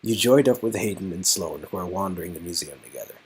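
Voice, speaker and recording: A man's voice: deep voice, animated, fast talker, slight background noise, poor audio. A man reading an interactive story game aloud as narrator, with casual joking asides.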